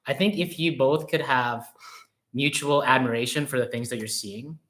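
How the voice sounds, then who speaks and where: A man with a medium-pitched voice. He co-hosts a casual travel live stream, answering questions conversationally. He speaks haltingly, searching for words and restarting as he explains. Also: a bit bright. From born in the Philippines, grew up in Canada from age six.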